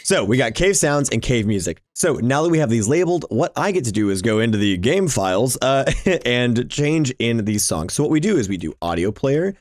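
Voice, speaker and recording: A man's voice: mid-range voice, sing-song, a little fast, a little mellow, no echo, studio quality. A man giving casual, exclamation-filled gameplay commentary, often talking to himself as he plays. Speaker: gaming YouTuber hosting a Minecraft series.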